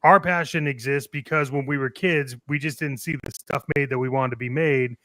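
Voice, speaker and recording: A man's voice: medium pitch, fairly even intonation, a little fast, a bit bright, no room sound. A man talking in an earnest, rambling way in a casual group chat, often acting out what other people say. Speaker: online radio show panelist.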